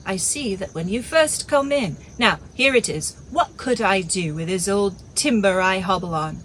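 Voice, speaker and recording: A woman reading an audiobook, voicing a crafty, blustering one-legged male pirate cook with loud sea-dog talk. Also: medium pitch, normal speed, a little hiss or hum, natural voice. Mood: boisterous.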